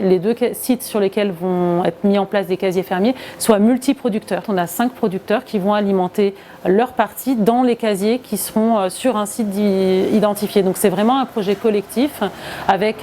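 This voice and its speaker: A woman's voice: mid-range voice, moderate pace, warm tone, slight background noise. A woman, speaking as a local project representative in an explanatory interview.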